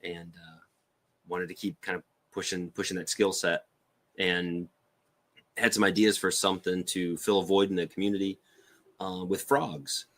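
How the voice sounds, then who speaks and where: Male interview guest, deep voice, informal, slightly hesitant explanations. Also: level tone, moderate pace. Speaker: podcast guest, figure sculptor. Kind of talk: casual interview chat.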